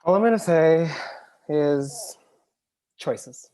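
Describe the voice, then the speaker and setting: A man playing a laconic, teasing young man driving his friend in a table read, terse and deadpan. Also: a little high, slow, rough recording.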